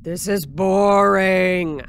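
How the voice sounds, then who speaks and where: A woman playing a blunt, impatient security officer in an audio drama, gruff and belligerent. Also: mid-range voice, very slow, a little hiss or hum. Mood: bored, impatient. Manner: gruff, plain-spoken, belligerent.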